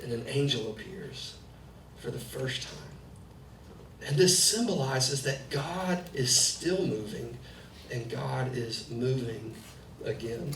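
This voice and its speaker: A man's voice: medium pitch, slow, fairly bright tone. Man preaching in an echoey church. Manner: conversational preaching with personal stories. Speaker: pastor. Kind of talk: sermon. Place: church sanctuary, preaching in the round. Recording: echoey hall, distant mic, faint noise.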